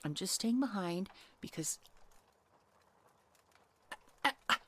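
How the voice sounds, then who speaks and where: A woman's voice: mid-range voice, lively intonation, slow, a bit bright, husky, exaggerated voice. Woman playing a brusque, stubborn female hunter. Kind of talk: fantasy audio roleplay acting.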